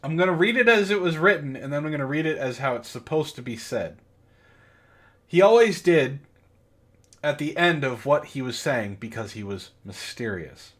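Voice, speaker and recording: A man's voice: fairly high pitch, animated, normal speed, fairly bright tone. A man reading fan fiction aloud for comedy, mocking it and breaking off for sardonic asides. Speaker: book club host and reader.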